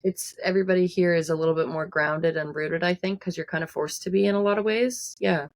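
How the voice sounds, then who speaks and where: Woman with a soft Newfoundland lilt. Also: fairly low pitch, steady tone, brisk. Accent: Newfoundland, softened. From Newfoundland, Canada. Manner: chatty, uses many likes and I means. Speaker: guest singer-songwriter. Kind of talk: casual interview.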